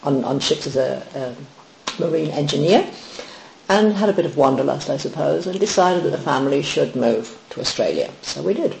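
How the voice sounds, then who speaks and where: A woman's voice: sits low, animated, moderate pace, gravelly, a little mellow. A middle-aged woman from Wales, a guest on a casual podcast, recalling her life reflectively with frequent ums and restarts. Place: small podcast studio. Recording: echoey small room, faint hiss.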